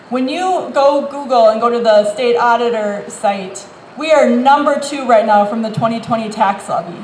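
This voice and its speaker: A woman making an emphatic campaign answer as a candidate at an outdoor forum. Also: on the high side. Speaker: city council candidate. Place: outdoors in a town park. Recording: echoey outdoor PA with faint noise.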